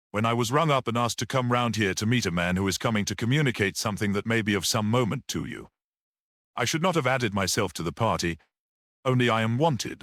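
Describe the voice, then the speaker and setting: A man's voice: fairly low pitch, a bit bright, no echo, clean studio sound. Mild, grave little priest played by a man.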